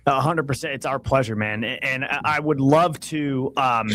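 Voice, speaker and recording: A man's voice: medium pitch, normal speed, fairly bright tone, a little hiss or hum. A man hosting a podcast, introducing and questioning his guest in an enthusiastic, friendly, casual way. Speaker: podcast host. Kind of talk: casual interview chat.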